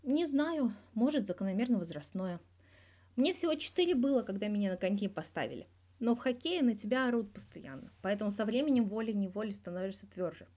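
Woman playing guarded hockey star in his thirties, soft mellow timbre, wry, candid, colloquial, audio fan fiction. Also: mid-range voice, expressive, a little fast, close to an everyday voice. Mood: reflective. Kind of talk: scripted interview in a fan fiction audio reading.

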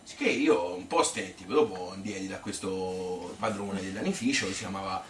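A man as a rambling Tuscan grandmother, low-voiced, echoey. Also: distant mic, slight background noise, lightly acted. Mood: reminiscing, proud. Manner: colloquial, halting, repeating phrases, chatty. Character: rambling, nostalgic, cheerful old Tuscan grandmother reminiscing. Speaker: solo stage storyteller. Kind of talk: theatrical monologue as spontaneous spoken reminiscence.

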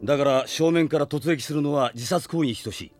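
Man acting a blunt, gruff mercenary fighter. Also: fairly high pitch, fairly quick, soft background music, natural voice. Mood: skeptical. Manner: curt, rough-spoken, skeptical. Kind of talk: Japanese radio drama acting.

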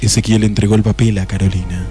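Casting: male actor as deadpan storyteller for comic detective radio play, slightly low voice. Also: fast, soft background music, close to an everyday voice. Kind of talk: scripted comic noir narration.

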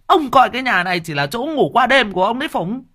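A storyteller voicing a loud, nagging, middle-aged rich wife who screeches and wails, in a dry close recording. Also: mid-range voice, expressive, fast, breathy edge, pitched above the performer's own voice. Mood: shrill nagging.

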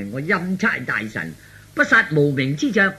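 Pompous Cantonese imperial envoy for a storybook, played by a man, slow, rough-voiced, haughtily declaiming challenges. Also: a little high, sing-song, pushed and bright, voice pushed down, full character voice. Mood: haughty challenge.

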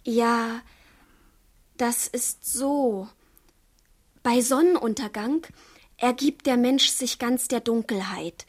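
A woman's voice: fairly high pitch, takes it slowly, pauses often. An actress playing an impulsive, devoted fifteen-year-old girl in a poetic radio drama, theatrical and fervent. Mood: dreamy, hushed. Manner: theatrical, fervent, swinging from hushed to impetuous. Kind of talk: German radio drama acting, poetic verse.

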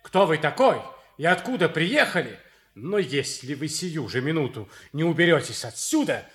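Radio comedy: curt, scornful officer type, highish tense male voice, hot-tempered. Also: very animated, normal speed, a bit bright. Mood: threatening. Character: brusque, hot-headed son with military bearing. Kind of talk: theatrical radio play acting.

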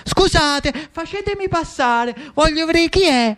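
A man's voice: very high voice, lively intonation, a little slow, fairly bright tone, voice pushed up, exaggerated voice. A man voicing an eager, poor young boy in solo theatre, pleading and calling out.

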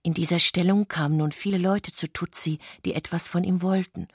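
A woman narrating literary prose for a radio play in a breathy voice. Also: on the low side, fairly even intonation, a little fast, dry recording, studio quality.

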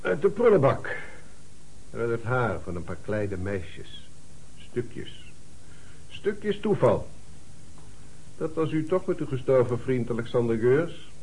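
An actor playing a probing, commanding, sceptical investigator in a Dutch radio play. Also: male voice, medium pitch, sing-song, takes it slowly, long pauses, darkish tone, slight background noise, rough recording. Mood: confronting. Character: insistent male investigator questioning witnesses about a death.

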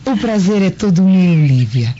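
Worldly Roman hostess, polished, coaxing, dark soft tone, muffled recording, faint noise. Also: female voice, on the low side, lively intonation, measured pace. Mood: gracious, coaxing. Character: gracious, persuasive Roman noblewoman, worldly hostess. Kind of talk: radio drama acting.

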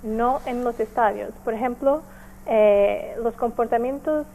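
A woman from Portugal with a Portuguese accent, a native Portuguese speaker, giving careful, halting interview answers as an NGO representative. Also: a little high, mellow, low-quality recording. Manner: halting, careful, searching for words. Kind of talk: explanatory radio interview answers.

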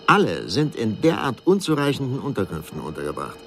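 A man's voice: lowish voice, huge pitch swings, a little fast, fairly bright tone, a little hiss or hum, full character voice. An actor playing a male news reporter who gives an earnest, emphatic, formal report in a radio drama.

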